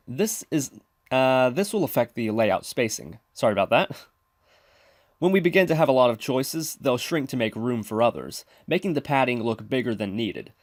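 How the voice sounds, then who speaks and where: A man's voice: mid-range voice, no echo, clean studio sound. A man giving scripted instructional narration as a tutorial presenter, explaining methodically step by step. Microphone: close mic, screen-recording voice-over.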